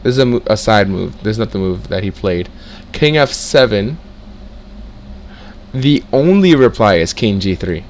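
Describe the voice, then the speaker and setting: Low-voiced young man thinking aloud. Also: normal speed, slight background noise. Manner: reads out moves, breaks into excited exclamations. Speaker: chess tutor. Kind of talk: casual screen-share lesson, thinking aloud.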